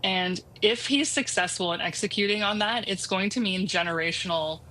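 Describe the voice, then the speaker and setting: A woman giving political commentary on a TV panel in a brisk, assured way. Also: medium pitch, bright, forceful tone.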